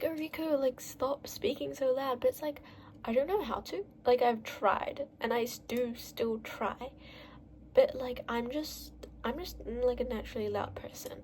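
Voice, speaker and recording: A young woman vlogging in a casual, rambling way, full of fillers, with a naturally loud voice. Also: fairly high pitch, slight background noise. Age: young adult. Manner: bubbly, rambling, lots of "like". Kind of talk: casual chatty monologue.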